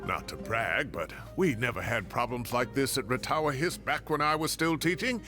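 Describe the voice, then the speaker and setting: An actor playing a genial older retired professor in a video game, with a gravelly, expressive, grandiose delivery. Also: male voice, mid-range voice, lightly acted. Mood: boastful. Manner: expressive, grandiose, drawn-out phrasing. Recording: faint music behind.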